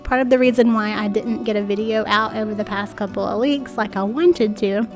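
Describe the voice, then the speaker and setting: A woman's voice: mid-range voice, steady tone, fairly quick, soft background music. A woman in her thirties with a stuffy, congested voice, chatting to viewers in a friendly home decor voice-over. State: stuffy nose from a cold. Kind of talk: voice-over narration. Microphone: voice-over mic.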